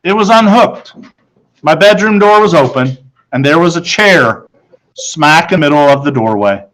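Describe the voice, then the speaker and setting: A man's voice: fairly high pitch, expressive, moderate pace, fairly bright tone, poor audio. A man reading a children's story aloud as a first-person narrator.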